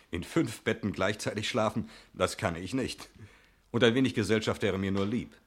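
An actor in a 1960s German radio play, playing a breezy, talkative stranger who is glib, jovial and evasively polite. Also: male voice, lowish voice, moderate pace, breathy edge. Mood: hospitable, persuasive. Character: breezy, talkative male stranger claiming to own the chalet.

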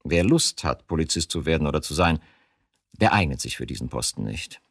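A man's voice: a bass voice, lively intonation, a little fast, vocal fry, dry recording, studio quality, exaggerated voice. An actor playing an exasperated, dutiful male police detective in a German radio drama, insistent and pedantic in manner. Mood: irritated, defensive.

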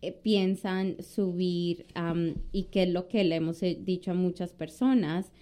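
Lawyer lady on a podcast, lowish mellow smooth voice, keeps restarting and saying am, eh. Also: steady tone, a little slow. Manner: hesitant, many restarts and fillers. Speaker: immigration lawyer and podcast co-host. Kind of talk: casual conversational chat.

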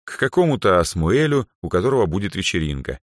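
A man reads a sheltered young noble heir in a fantasy story. His voice is fairly low and close, with a calm, polite delivery and a touch of naive puzzlement. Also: quick pace, no room sound. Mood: calm, puzzled.

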